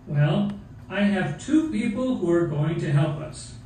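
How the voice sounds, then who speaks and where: A man's voice: sits high, expressive, measured pace, mellow. A man voicing a jolly old Santa puppet, announcing jovially in a children's Christmas show. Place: theatre, behind puppet stage curtain. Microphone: far camera. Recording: very echoey hall from far off, faint noise.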